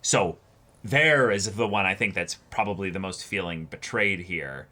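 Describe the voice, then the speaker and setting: Bright-voiced, low-pitched man chatting casually. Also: moderate pace. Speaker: co-host of an anime reaction discussion show. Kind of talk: casual discussion chat.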